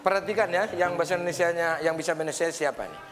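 A man's voice: on the high side, racing. A male comedian host bantering and drilling guests on phrases on a studio set. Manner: playful, teaching phrases syllable by syllable. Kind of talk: comic talk show banter. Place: TV studio set with live audience. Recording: roomy studio with loud audience.

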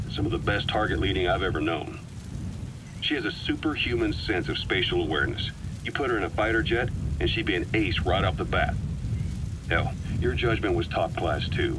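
An actor playing a shrewd, seasoned male military intelligence officer in a game, briefing in a measured, deliberate way with dramatic pauses. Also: on the low side, background noise, close to an everyday voice. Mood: impressed. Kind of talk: scripted game audio briefing.

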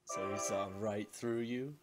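A man as a low-voiced, wry undead skeleton. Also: steady tone, measured pace, close to an everyday voice. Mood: amused, teasing. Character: glitchy half-dead skeleton man, wry joker, weary and haunted. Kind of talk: comic dub voice acting. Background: music.

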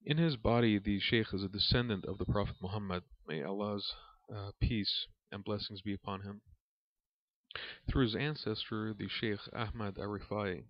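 A man reading aloud from a spiritual book as a lesson, in a measured, reverent manner. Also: lowish voice, fairly even intonation. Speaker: reader and religious teacher. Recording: dull, lo-fi recording in an echoey room.

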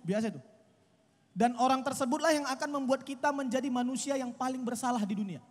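High-voiced young man doing stand-up. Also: big-room sound. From Medan, Indonesia. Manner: chatty, animated storytelling with acted quotes. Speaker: stand-up comedian. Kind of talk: stand-up comedy set. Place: outdoor stage at a night event. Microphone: handheld mic.

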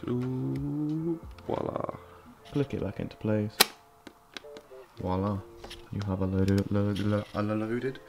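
A young man with a croaky, just-woken voice, rambling casually through a home vlog with lots of restarts. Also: on the low side, takes it slowly, long pauses, heavy background noise. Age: young adult. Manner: rambling, self-deprecating, restarts a lot. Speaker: film photography vlogger. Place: small home office room. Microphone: desk mic in front.

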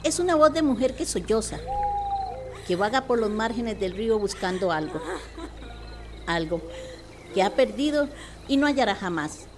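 A woman's voice: medium pitch, expressive, moderate pace, long pauses. A Costa Rican woman reading a scripted legend as narrator of a radio play. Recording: clear background noise, nature ambience.

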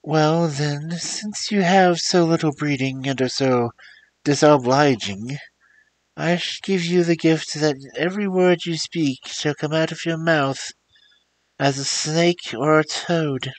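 A dignified fairy from an old storybook, voiced by a man reading aloud, cool and stern, her voice lifted higher yet kept quiet and measured. It sounds close and dry. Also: dry recording, low-quality recording.

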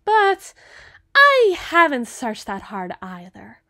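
Evil queen, played by a woman, ASMR roleplay: possessive, coldly flirtatious, theatrical. Slightly high voice, slow deliberate delivery. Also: huge pitch swings, pushed and bright, no echo, studio quality, exaggerated voice. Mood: smugly threatening. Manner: theatrical, imperious, menacing, deliberate.

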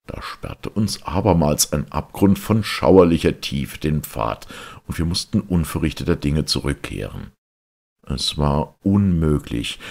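Very deep, gravelly male storyteller. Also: darkish tone. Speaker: audiobook reader. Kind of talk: literary audiobook narration.

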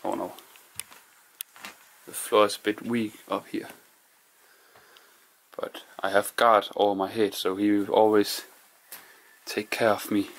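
Slow, low-voiced man exploring quietly. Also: little pitch movement, slight background noise. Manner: hushed, musing, non-native English phrasing. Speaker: urban explorer vlogger. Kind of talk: casual narration while exploring. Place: indoors in an abandoned house.

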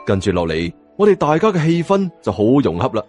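A man with a Cantonese accent narrating an audiobook in a dramatic, expressive storytelling style. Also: medium pitch, quick pace. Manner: expressive, acts out dialogue. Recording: music bed behind narration. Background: background music.

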